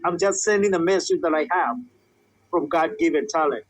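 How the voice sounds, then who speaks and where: A high-pitched man from Kenya answers interview questions as a musician and comedian. He speaks earnestly and presses his points by repeating them. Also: normal speed, poor audio. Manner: earnest, repeats emphatic advice.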